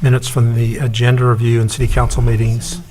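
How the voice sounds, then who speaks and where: A man serving as mayor, chairing a city council meeting and giving formal remarks in a measured way, with fillers and pauses. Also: medium pitch, little pitch movement, darkish tone.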